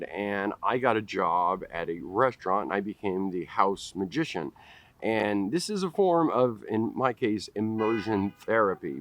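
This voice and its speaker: Man talking with a mild stutter. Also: on the low side. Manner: stutters with repeated sounds and restarts. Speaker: vlogger. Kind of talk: direct-to-camera talk. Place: outdoors in a park. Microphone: camera close up at face level.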